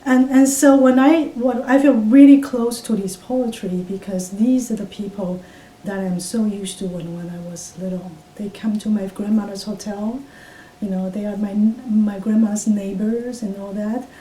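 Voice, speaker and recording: A woman in her fifties from Taiwan with a Taiwanese accent, speaking English as a second language and recalling her past in an oral history interview, halting with many fillers and restarts. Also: fairly even intonation, mellow. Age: 50s. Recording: roomy, distant mic with faint rumble.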